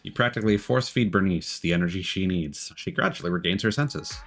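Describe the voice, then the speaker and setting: A man's voice: deep voice, fairly quick, a bit bright. A man streaming a video game, reading its dialogue aloud in a theatrical, performative way. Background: game music.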